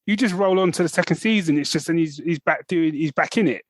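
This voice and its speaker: A man's voice: fairly high pitch, fast, no echo. Enthusiastic rambling male podcaster. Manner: enthusiastic, rambling with many restarts. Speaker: podcast co-host. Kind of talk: casual chat.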